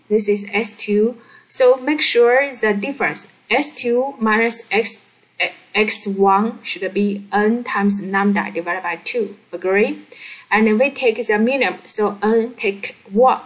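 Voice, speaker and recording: A woman who is a non-native English speaker explains a worked problem step by step as a teacher, halting and often checking that the learner follows. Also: a little high, low-quality recording. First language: not English. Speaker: physics teacher.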